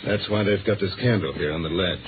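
An actor playing a sly middle-aged office rival in a 1950s radio drama, needling someone with smooth false friendliness. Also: male voice, low-pitched, fast talker, background noise, lightly acted. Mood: falsely jovial. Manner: smooth feigned friendliness, taunting insinuation. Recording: tinny old radio broadcast with music.

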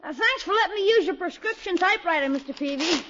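An eager, brash schoolboy with a very high voice that swoops excitedly. He is slangy and boyish, in 1950s radio comedy style. The old recording is dull. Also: fast, background noise. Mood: brisk, self-important. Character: eager, brash schoolboy nephew hustling for a job.

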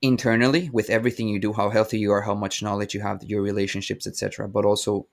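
Man, low voice. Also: level tone, quick pace, creaky. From Venezuela. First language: Spanish. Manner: enthusiastic, complimentary, stammers on restarts. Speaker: host. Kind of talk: casual interview chat.

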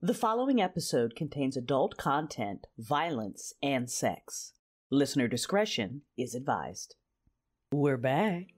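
A woman's voice: very deep voice, big pitch swings, measured pace, a little mellow, breathy edge. A woman hosting an audio drama, reading a scripted promo and announcement in a playful, chatty way.

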